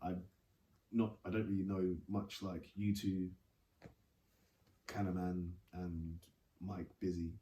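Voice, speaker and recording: Low, mellow man, roomy and distant. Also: flat delivery. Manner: halting, filler-heavy. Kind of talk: casual group chat. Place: room with several guests. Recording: roomy and off-mic.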